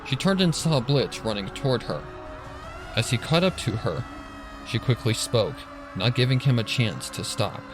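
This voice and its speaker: A man's voice: mid-range voice, animated, normal speed. A man reading a scripted story as a dramatic narrator. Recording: narration over music and sirens.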